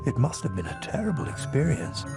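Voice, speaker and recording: Old wizard headmaster in a game, creaky, calm and dry witted, music under it. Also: male voice, mid-range voice. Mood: gentle, wry. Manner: measured, ceremonious. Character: elderly kindly wizard headmaster, calm and dryly witty. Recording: loud music behind the voice.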